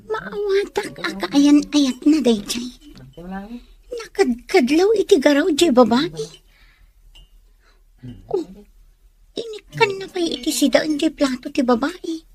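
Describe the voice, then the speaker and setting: A woman's voice: high-pitched, expressive, takes it slowly, pauses often, a little mellow, heavily acted. A woman playing a bold, watchful young friend in an audio drama, frank and nosy in manner. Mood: suspicious.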